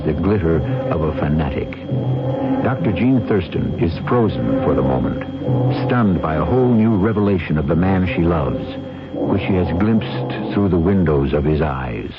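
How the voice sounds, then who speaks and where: Male mystery-show narrator, very low voice, ominous and theatrical, music behind, vintage radio sound. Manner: ominous, theatrical, measured phrasing. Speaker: host and narrator. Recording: muffled old radio broadcast.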